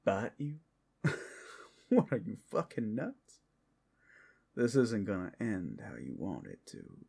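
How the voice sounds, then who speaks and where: A man playing a cursed, menacing captive werewolf in an ASMR roleplay, with a gravelly, husky voice that often breaks into whispers. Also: fairly low pitch, wildly swooping pitch, a little mellow, heavily acted. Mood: angry, threatening. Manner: slow, dramatic, swinging between whispers and outbursts. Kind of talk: ASMR audio roleplay acting.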